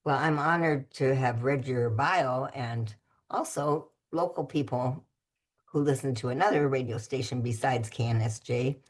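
Very low-voiced husky woman host. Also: a little slow. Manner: relaxed, conversational, searching for words. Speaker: radio host. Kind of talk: community radio interview.

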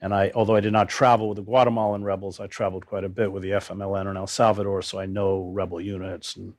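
An older man with a fairly low-pitched voice talks as a seasoned journalist being interviewed. His delivery is grave and deliberate. He often halts and restarts a phrase as he recounts his reporting. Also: flat delivery, darkish tone.

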